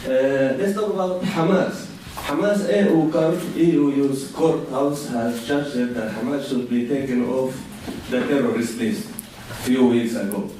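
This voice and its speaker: A man's voice: medium pitch, unhurried, warm tone, slight background noise. A man from Finland in the audience, asking an earnest, emphatic question. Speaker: audience member. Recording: very echoey hall, far from mic.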